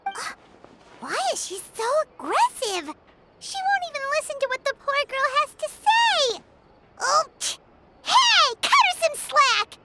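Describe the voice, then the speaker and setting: Paimon, a squeaky floating companion. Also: female voice, sing-song, measured pace, noisy background, exaggerated voice. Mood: indignant. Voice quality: high, squeaky. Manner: talks of herself in third person. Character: tiny floating female companion, chirpy, excitable. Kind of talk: game voice acting.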